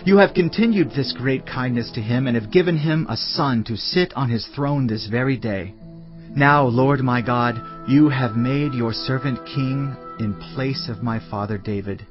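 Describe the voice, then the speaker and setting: Man acting young bible king, earnest n deliberate, regal, slightly muffled w soft music. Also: mid-range voice, natural voice. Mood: humble reverence. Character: earnest, reverent young king who judges firmly. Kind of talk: dramatised audio Bible acting. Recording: slightly dull, music underneath.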